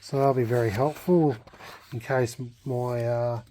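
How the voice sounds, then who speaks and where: A man narrating a casual, unscripted hobby vlog in a hesitant, halting way, thinking aloud as he goes. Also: mid-range voice, fairly even intonation, dark tone, slight background noise. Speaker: hobbyist vlogger restoring a vintage radio.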